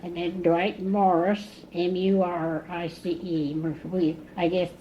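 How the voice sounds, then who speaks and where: A woman in her nineties from Indiana, recalling her family slowly in an oral history interview at home. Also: lowish voice, little pitch movement. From Indiana, USA. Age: 90s. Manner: chatty, recalls slowly with pauses. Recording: dull lo-fi 1980s cassette tape, faint hiss.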